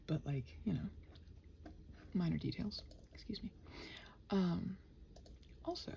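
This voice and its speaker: Scattered, muttering woman with a lively, creaky voice. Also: fairly low pitch, a little hiss or hum. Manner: scattered, muttering to herself while working; playful, chatty, talks to her computer. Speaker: ASMR audio creator rambling to listeners. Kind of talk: casual unscripted body-doubling ramble.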